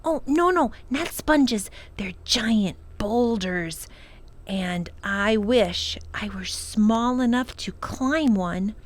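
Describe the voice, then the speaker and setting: A woman voicing an earnest, imaginative young girl from a storybook, sweet, eager and excitable. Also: medium pitch, drawn-out words. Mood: dreamy, excited.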